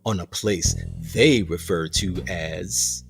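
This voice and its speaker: An actor playing a smug, menacing ship captain in a radio play, theatrical, deliberate and mock-courteous. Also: male voice, deep voice, vocal fry, music in the background, heavily acted. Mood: courteous, commanding. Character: sinister male ship captain, smug, menacing warden of punishment.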